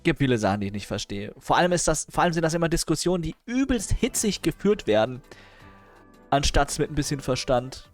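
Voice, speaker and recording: A male streamer reacts to videos in a casual, sarcastic way, hurrying them along with chuckling asides. His voice sits slightly high for a man and swings widely in pitch. Soft music plays faintly behind him. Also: big pitch swings, a little fast.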